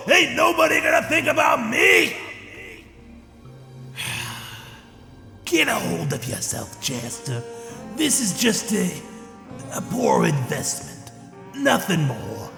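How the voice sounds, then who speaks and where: Loud music and a big reverberant hall surround a greedy goblin trade prince. His voice is heavily gravelled and slow-rolling. He blusters in slangy wiseguy talk with a short temper, as a fantasy audio drama villain. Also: on the high side, heavily acted. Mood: bitter, angry, then self-soothing. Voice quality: gravelly, creaky. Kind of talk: fan audio drama character acting.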